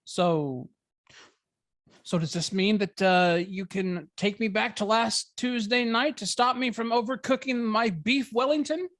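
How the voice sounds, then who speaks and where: A woman reads a young boyfriend in an informal drama table read. The character is supportive and jokey, with a halting, slightly awkward delivery that stumbles into repeated words. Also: mid-range voice, no echo, clean studio sound, close to an everyday voice. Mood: teasing. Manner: hesitant, stammering.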